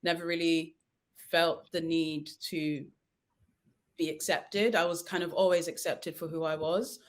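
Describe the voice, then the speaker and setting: A woman's voice: sits low, steady tone, measured pace. A young adult woman, born in Nigeria and raised in London, speaking as a guest in a panel discussion, telling a personal story in an earnest, reflective way. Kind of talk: casual discussion.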